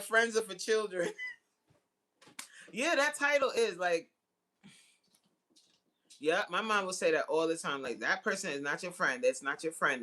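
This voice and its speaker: A woman's voice: fairly low pitch, a bit bright. A woman in her forties chatting casually with live viewers as host, slangy, teasing and giggly in manner. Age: 40s. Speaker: livestream host. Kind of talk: casual chat with live viewers reading comments.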